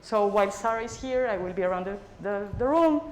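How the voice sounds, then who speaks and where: Excited woman lecturer from Colombia. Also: mid-range voice, fairly quick, a little hiss or hum, rough recording. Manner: excited, friendly, laughs. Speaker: guest lecturer. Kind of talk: lecture opening. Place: lecture room.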